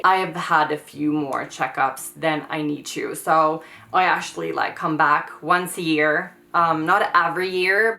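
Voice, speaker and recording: Bright low-pitched woman, hesitant. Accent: Swedish. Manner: hesitant, trailing off, many likes and ums. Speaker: YouTuber answering viewer questions. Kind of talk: casual Q&A vlog.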